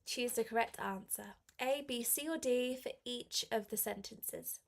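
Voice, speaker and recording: High-voiced woman teacher with a cold. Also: measured pace, vocal fry. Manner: encouraging, praising learners. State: slight head cold affecting the voice. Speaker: English teacher hosting a live class. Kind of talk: live online language lesson, reading exercises aloud and chatting to learners.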